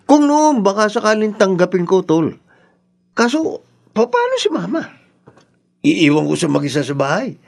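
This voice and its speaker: A man acting an idle, teasing, wheedling older brother in a Filipino radio drama, with animated, colloquial talk. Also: sits high, normal speed, creaky, long pauses, full character voice. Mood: wheedling, protesting.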